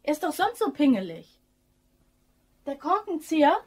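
A woman's voice: sits high, big pitch swings, moderate pace. An actress playing a hesitant, fond, easily alarmed female bookkeeper in a naturalistic German crime radio drama. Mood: defensive. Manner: naturalistic, questioning, often dropping to a hushed voice.

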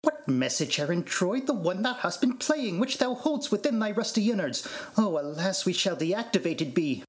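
A man's voice: high voice, very animated, normal speed. A man playing a fussy, anxious robot servant in formal, theatrical archaic phrasing, in an amateur Shakespearean reading. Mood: flustered, scolding.

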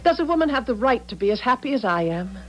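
An actress in a 1970s radio drama playing a devoted, trusting, adoring wife. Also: female voice, fairly high pitch, very animated, quick pace, low-quality recording. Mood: blissful, adoring. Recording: old radio drama, faint music behind.

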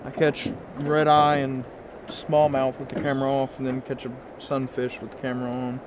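A man's voice: mid-range voice, monotone, moderate pace, noisy background. A young man casually vlogging a fishing trip outdoors, joking and rambling on an action camera. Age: young adult. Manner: joking, rambling, laid-back. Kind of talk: casual vlog chat. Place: outdoors on a river bank and boat.

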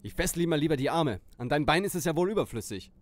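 An actor playing a cocky, self-righteous young detective, bossy and assertive, in an audio drama parody. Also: male voice, fairly high pitch, expressive, racing, close to an everyday voice. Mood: smug.

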